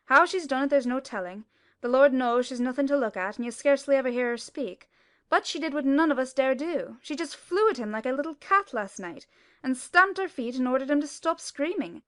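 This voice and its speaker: A woman's voice: high voice, lively intonation, fairly quick, a bit bright, no echo, clean studio sound, voice pushed up. A woman voicing a gossipy, amazed housekeeper in a storybook, talkative and emphatic. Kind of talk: acted storybook dialogue.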